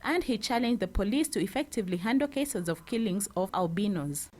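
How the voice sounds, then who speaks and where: Woman, Zambian accent, medium pitch, news reporter, scripted report narration. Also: animated, fairly quick, a little mellow.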